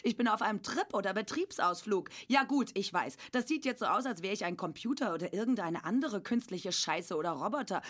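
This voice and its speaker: A woman's voice: medium pitch, lively intonation, a little fast, few pauses, fairly bright tone, raised voice, natural voice. An actress in a radio play playing a frantic, overworked woman who insists she is human, ranting obsessively and erupting into shrieks. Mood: agitated. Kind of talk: avant-garde radio drama, rapid rant breaking into screams.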